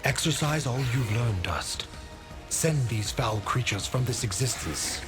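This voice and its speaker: A man's voice: mid-range voice, lively intonation, measured pace, lightly acted. A man voicing the wise spirit of a talking sword in a video game, solemn and formal. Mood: commanding. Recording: music and rain behind the voice.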